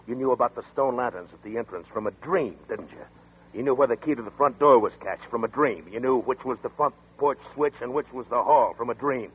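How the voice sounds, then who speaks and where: An actor playing a tough, contemptuous detective with a husky, clipped delivery in a 1940s radio drama. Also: male voice, medium pitch, fairly quick. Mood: angry, contemptuous. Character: hard-nosed tough detective brother-in-law. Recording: tinny lo-fi 1940s radio broadcast.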